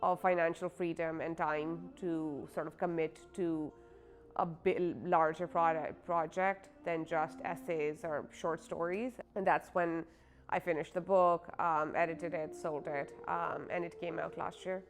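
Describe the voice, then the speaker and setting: A woman's voice: low-pitched, level tone, unhurried. A woman author from Pakistan, talking casually in an interview about her writing with many fillers. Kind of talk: interview, personal story.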